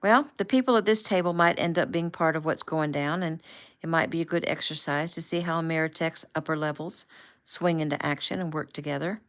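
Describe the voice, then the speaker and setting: A woman voicing a calm, authoritative man who speaks in a steady, reassuring and firm way. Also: low-pitched, brisk. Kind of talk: audiobook character voice.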